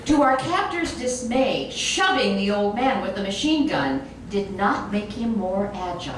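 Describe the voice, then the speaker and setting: A woman reading a comic short story aloud in an animated, expressive storytelling manner. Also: mid-range voice, a little slow. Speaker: reader. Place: echoey room, recorded from a distance. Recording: very echoey room, distant mic, noisy.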